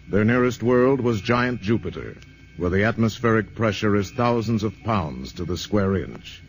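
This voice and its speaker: An actor playing a grave, solemn narrator in a 1950s radio drama, speaking in a measured, portentous way. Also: male voice, on the low side, low-quality recording. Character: grave, solemn narrator of an alien invasion tale. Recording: vintage radio broadcast with music behind.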